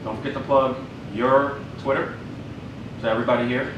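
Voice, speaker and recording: A filmmaker guest speaking to a group at a live event, jokey and animated, acting out quotes, recorded from a distance in an echoey room. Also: male voice, medium pitch, fairly quick, highs cut off, noisy background.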